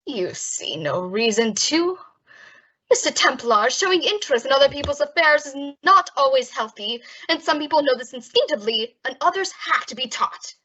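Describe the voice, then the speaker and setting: Woman playing a bright, high-voiced smug gangster boss. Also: very animated, moderate pace, full character voice. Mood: quietly menacing. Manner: mock-polite, taunting. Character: smug, menacing male nightclub gangster boss. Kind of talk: old-time radio drama acting. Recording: dull lo-fi audio.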